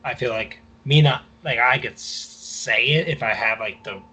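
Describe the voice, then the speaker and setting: A man's voice: medium pitch, normal speed, pushed and bright, breathy edge, poor audio. A young man co-hosting a podcast, talking in a loose, rambling way and hedging with constant "like". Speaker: podcast co-host. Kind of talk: casual conversation.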